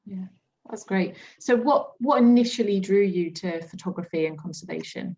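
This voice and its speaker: A woman's voice: mid-range voice, normal speed, creaky, a little mellow, lo-fi sound. A woman interviewer asking short, friendly questions.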